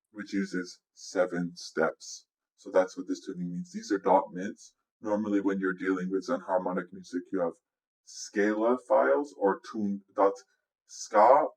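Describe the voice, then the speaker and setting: A man with a low-pitched voice gives a casual software walkthrough. He speaks as a music producer explaining his process, informal and instructive. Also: gravelly, poor audio. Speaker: music producer giving a tutorial. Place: indoors, voice-over on a screen recording.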